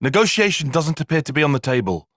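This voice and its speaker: A man's voice: a little high, big pitch swings, quick pace, a bit bright, dry recording, studio quality. A man playing an earnest, analytical young soldier in a fantasy video game, speaking with precise, formal phrasing. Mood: serious, analytical. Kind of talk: video game cutscene acting.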